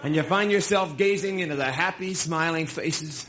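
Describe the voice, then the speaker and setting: A man's voice: a little high, normal speed, a bit bright. A man performing live stand-up comedy in a playful, mock-earnest manner. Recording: live stage recording with music. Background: music.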